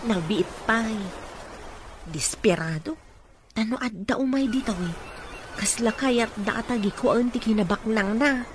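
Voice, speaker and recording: A woman's voice: on the high side, a little slow, pauses often, natural voice. A woman playing a deferential, friendly, gossipy housekeeper in a radio drama. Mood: chatty, gossipy. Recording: clearly noisy background.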